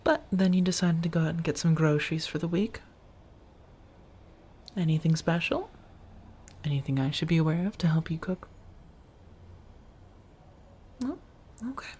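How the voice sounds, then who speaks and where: A woman acting as an affectionate female vampire roommate in an ASMR roleplay. Her voice is low and husky, with soft breath around the words. She speaks intimately to one listener, teasing gently and looking after them. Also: lively intonation. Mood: playfully teasing. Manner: gently teasing, drawn-out phrasing, soft chuckles.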